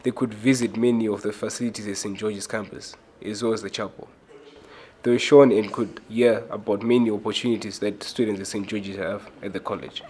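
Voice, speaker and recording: Lowish male newsreel narrator. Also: steady tone, a little fast, a little mellow. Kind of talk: scripted news narration.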